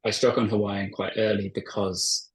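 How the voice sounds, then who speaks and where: A man's voice: on the low side, flat delivery, moderate pace, slightly rough. A man from North Wales whose first language is Welsh, an author and journalist answering interview questions with many fillers and restarts. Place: family home, remote call.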